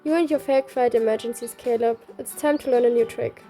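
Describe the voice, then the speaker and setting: A woman's voice: on the high side, fairly even intonation, a little fast, dark tone. A woman reading a game story aloud, both the narration and the dialogue. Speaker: reader. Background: faint music.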